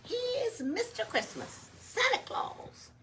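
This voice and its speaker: A cheeky little male Christmas elf from a storybook, acted out by a woman. The voice sits way up high and bounces around, gleeful and full of teasing. It is recorded at a distance with some room noise. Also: very animated, slow, a bit bright, heavily acted. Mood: cheerful bragging. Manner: giggly, teasing, exclaiming. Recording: noisy room, distant microphone.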